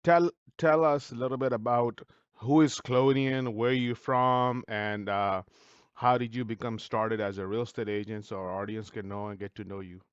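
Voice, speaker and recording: A man's voice: mid-range voice, fairly even intonation, moderate pace, clean tone, dry recording, dull audio. A man hosting an interview, asking his guest loose, rambling questions. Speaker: podcast host.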